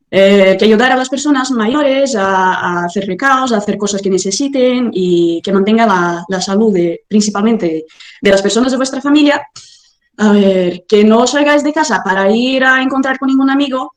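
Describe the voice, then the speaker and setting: Brazilian woman guest, bright-pitched, lively, room echo on the line. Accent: Brazilian Portuguese. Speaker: interview guest. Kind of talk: casual video call interview.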